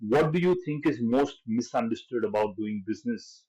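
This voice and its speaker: A man hosting an interview podcast, giving brisk scripted introductions and asking questions. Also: mid-range voice, mellow, husky, lo-fi sound. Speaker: podcast host.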